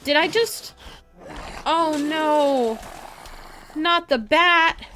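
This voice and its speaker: A woman's voice: sits high, drawn-out words, pushed and bright, slight background noise. A woman streaming a video game live with casual, chatty commentary, often muttering to herself and to game characters.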